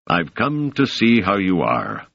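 A man reading an audiobook voices an awkward male academic making a halting, formal apology. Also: sits low, wildly swooping pitch, creaky, no room sound, pitched above the performer's own voice, heavily acted. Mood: apologetic. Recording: dull, dry, lo-fi sound.

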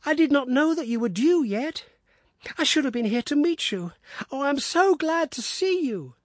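A man doing an excitable teenage boy. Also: normal speed, no room sound, studio quality. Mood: overjoyed. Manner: breathless, high, gushing. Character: excitable frail teenage boy, eager and fervent.

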